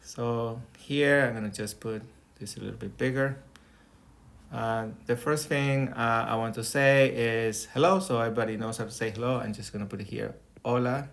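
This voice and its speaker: A man's voice: mid-range voice, fairly even intonation, normal speed, creaky, a bit bright. A middle-aged man from Colombia with a Colombian accent, a native Spanish speaker, giving an online Spanish lesson over a video call and checking in with "okay?" as he explains each step. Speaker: Spanish teacher. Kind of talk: online lesson with screen share. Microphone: webcam or laptop mic on video call.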